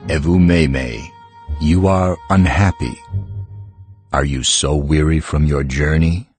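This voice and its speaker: Very deep, slow ancient stone being. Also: male voice, fairly even intonation, soft background music. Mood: gently concerned. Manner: measured, formal, gentle speech. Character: calm, ancient living-rock being, heart of a mountain. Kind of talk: full-cast audiobook acting.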